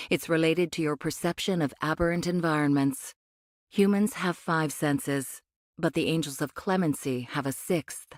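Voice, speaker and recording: An actress playing a condescending cyborg angel of a rebel faction in an anime game, speaking in a measured, cryptic way. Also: female voice, deep voice, no room sound, studio quality, natural voice. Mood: condescending, knowing. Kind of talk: anime game voice acting, expository dialogue.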